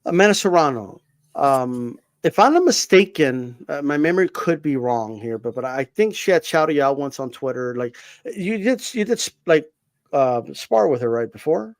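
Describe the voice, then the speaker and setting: A man hosting a casual boxing interview, joking and animated, often restarting his questions. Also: on the high side, moderate pace, dry recording.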